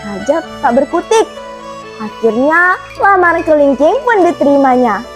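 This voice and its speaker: A woman storyteller narrating a folktale in an expressive, dramatic, theatrical way. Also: high voice, a little slow, faint music behind.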